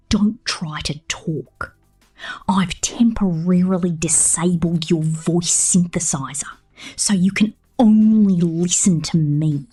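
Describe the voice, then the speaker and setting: A woman voicing a possessive, sweetly domineering young sci-fi engineer in a soft, breathy ASMR whisper, close to the microphone. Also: fairly low pitch. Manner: slow, intimate, controlling.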